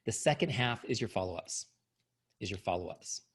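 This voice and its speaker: Man coaching a sales team, gravelly, voice wearing thin, upbeat, middle-aged. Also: lowish voice, expressive, moderate pace. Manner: upbeat, coaching, asks "right?" often. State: losing his voice. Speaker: company founder and trainer. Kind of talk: online sales training talk.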